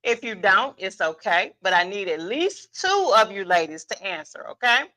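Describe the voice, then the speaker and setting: Lively, bright-voiced woman hosting. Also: medium pitch, moderate pace. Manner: playful, commanding, repeats phrases for emphasis. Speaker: guest moderator and host. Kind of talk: lively unscripted event hosting, casual banter. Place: live podcast panel event.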